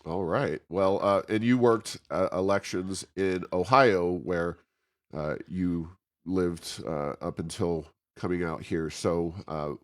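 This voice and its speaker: Low-voiced, halting American man hosting. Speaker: podcast host and think tank president. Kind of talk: conversational interview.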